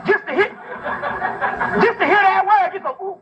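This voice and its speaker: A man's voice: extremely high pitch, moderate pace, dull audio. A man giving a fiery, informal lecture, reading a text aloud and explaining it with emphatic profanity and rhetorical questions.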